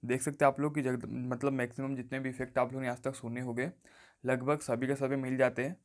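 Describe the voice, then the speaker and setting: A man's voice: medium pitch, little pitch movement, brisk. A man presenting a casual tech tutorial in an instructional way, often repeating stock phrases. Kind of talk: casual step-by-step phone tutorial. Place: voice-over on a phone screen recording.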